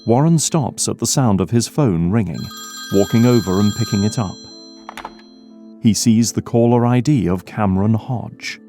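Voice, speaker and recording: A man's voice: lowish voice, moderate pace, mellow, soft background music. A man reading scripted dramatic narration as the narrator of an audio drama.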